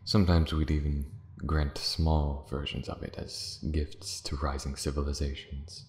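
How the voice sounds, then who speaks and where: A man playing a proud, bitter, ancient dragon in a fantasy ASMR roleplay, brooding and scornful, theatrical, dipping into hushed breathy tones. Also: rumbling low, level tone, a little slow. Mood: proud, wistful. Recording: roomy echo, faint background noise.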